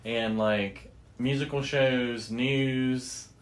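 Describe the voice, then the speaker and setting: A man's voice: mid-range voice, steady tone, drawn-out words. A middle-aged American man chatting casually as a podcast co-host. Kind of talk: casual chat.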